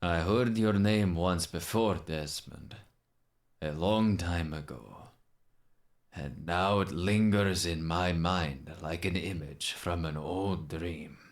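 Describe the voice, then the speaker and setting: A man voicing a solemn, world-weary older assassin in a game monologue, with a measured, grave, wistful delivery. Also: deep voice.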